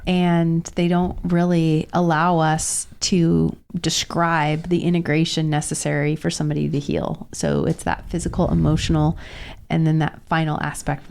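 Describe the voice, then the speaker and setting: Woman, thirties, low voice, gravelly edge, stuffy-nosed from a cold, earnest podcast talk. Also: flat delivery, darkish tone. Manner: earnest, reflective, slow in places. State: nasal from a cold. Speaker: podcast co-host. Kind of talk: casual podcast conversation.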